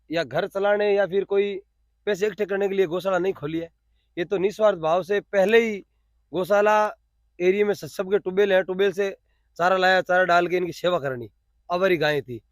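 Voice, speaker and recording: A man with a Rajasthani accent speaks in a high voice with very little echo around it. He explains himself off the cuff as an interviewee, often restating a phrase to press the point. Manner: repeats phrases for emphasis. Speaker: interviewee, cow shelter volunteer. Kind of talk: spontaneous explanatory interview answers.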